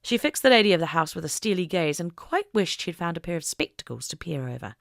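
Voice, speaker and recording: A woman reading a scripted story as an audiobook narrator, in an expressive storytelling style. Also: lowish voice, fast, gravelly, no room sound, clean studio sound.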